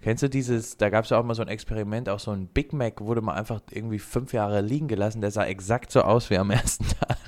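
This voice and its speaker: A man's voice: fairly low pitch, steady tone, fast talker, hardly any pauses. A young man co-hosting a casual podcast chat, joking and laughing often. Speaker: podcast co-host.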